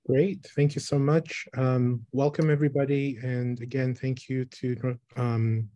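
A man in his thirties, from the Philippines, giving a thoughtful online talk about his photography on a video call, with pauses and restarts. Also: medium pitch, flat delivery, mellow, lo-fi sound. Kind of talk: online presentation. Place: small room, indoors. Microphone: webcam on a video call.

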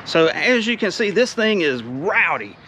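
A man, chatting excitedly in a casual vlog about his race truck, outdoors and then driving in the cab. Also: high voice, unhurried. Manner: excited, chatty, drawling shop talk. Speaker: car builder vlogger. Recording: loud engine noise throughout.

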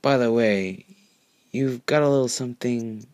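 A man's voice: medium pitch, measured pace, darkish tone, dry recording, voice pushed up, close to an everyday voice. Casting: breezy young female unicorn for a storybook, cheeky, easygoing, played by a man, dry sound. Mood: breezy, teasing.

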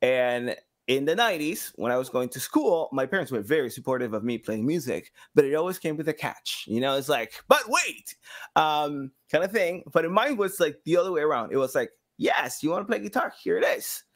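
A man in his thirties from South America, telling stories casually as an interview guest, acting out quotes and pausing to find words. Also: fairly high pitch, expressive. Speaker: podcast guest, sound designer. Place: small home room, video call. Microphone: boom-arm microphone with headset.

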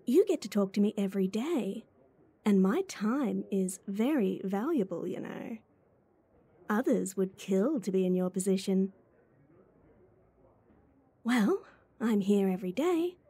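A woman's voice: medium pitch, dark tone, slightly rough, no echo. A woman voice actor playing a playful, teasing, clingy schoolgirl childhood friend in a chatty ASMR roleplay.

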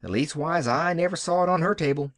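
A man's voice: a little high, a little fast, no echo, studio quality, pitched above the performer's own voice, lightly acted. A man playing a kindly, flustered hired girl with stammering, folksy country speech in a storybook. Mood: helpful.

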